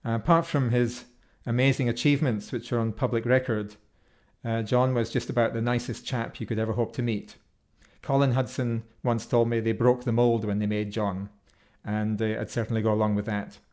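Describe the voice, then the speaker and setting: A man from Scotland with a Scottish accent, speaking to an audience in an unhurried, reflective and affectionate way as he tells personal stories in tribute. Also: fairly high pitch, mellow. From Perth, Scotland. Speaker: folk musician and speaker at a memorial.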